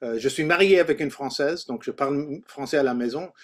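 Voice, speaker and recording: Halting Anglophone man with higher-pitched voice. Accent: mid-Atlantic English accent in French. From Canada. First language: English. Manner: halting, searching for words in a second language. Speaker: language teacher and author leading a workshop. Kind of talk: informal teaching presentation.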